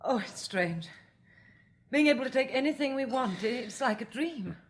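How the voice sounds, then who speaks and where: Anxious genteel British woman, animated pitch. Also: normal speed, slightly rough. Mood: uneasy wonder. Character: anxious, tender-hearted young Englishwoman surviving an apocalypse. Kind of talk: vintage British radio drama acting. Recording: faintly noisy old radio recording.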